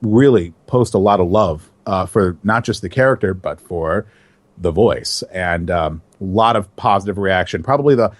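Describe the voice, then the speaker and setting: A man who works as a voice actor answers interview questions in a chatty, animated way and laughs easily. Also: deep voice, moderate pace, no echo. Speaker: voice actor, guest.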